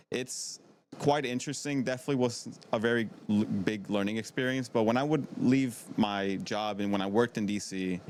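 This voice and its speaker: Male guest in his twenties, slightly deep voice, conversational and wordy, circles back on his phrases, noise in the recording. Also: darkish tone. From from Maryland, United States. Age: 20s. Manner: conversational, rambling, repeats himself. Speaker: podcast guest, middle school PE teacher. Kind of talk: casual chat. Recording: noticeable background noise.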